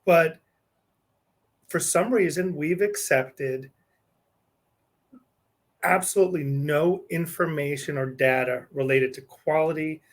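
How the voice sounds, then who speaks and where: A man's voice: a little high, slow, long pauses. A man hosting an interview podcast, chatty and joking, often repeating words as he starts a sentence. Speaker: podcast host, benefits advisor. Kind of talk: casual interview chat.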